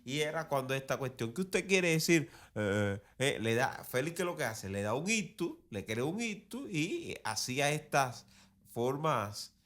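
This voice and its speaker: A man's voice: medium pitch, sing-song, unhurried, a bit bright. A man with a Cuban accent giving conversational, digressive and emphatic commentary as a soap opera commentator. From Cuba. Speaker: commentator on radio and television soaps.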